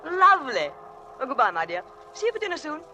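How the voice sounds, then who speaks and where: A woman's voice: sits high, big pitch swings, quick pace, pushed and bright, heavily acted. An actress playing a chatty, effusive, genteel society lady in 1940s radio drama style. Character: chatty, gushing society lady, a mystery writer. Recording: noisy vintage radio broadcast.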